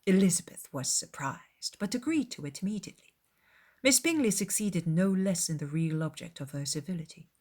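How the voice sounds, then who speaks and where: A woman reading scripted literary narration as an audiobook narrator, in a measured, formal storytelling manner. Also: lowish voice, expressive, a little mellow.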